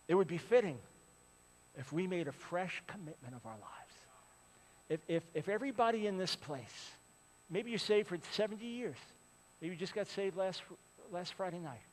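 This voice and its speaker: A man's voice: high voice, expressive, normal speed, in a large hall, poor audio. Impassioned preacher in his sixties. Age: 60s. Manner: impassioned, swinging from loud appeals to hushed pleading. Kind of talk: sermon. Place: large church with a balcony.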